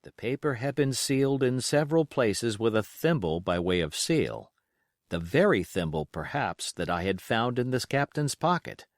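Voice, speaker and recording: A man reading a novel as a scripted audiobook narration, measured and carefully phrased. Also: mid-range voice, very animated, no echo, clean studio sound.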